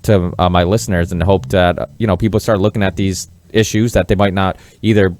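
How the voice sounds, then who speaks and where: A man's voice: deep voice, fairly even intonation, brisk, a little mellow. A man from Massachusetts hosting a podcast, talking in an earnest, rambling, conversational interview style. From Massachusetts, born in Portugal. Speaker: podcast host.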